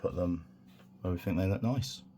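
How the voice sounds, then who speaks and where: A man with a croaky voice from a sore throat, talking through a hobby tutorial in a relaxed, rambling way with many fillers. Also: sits low, normal speed, noisy background. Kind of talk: casual hands-on tutorial.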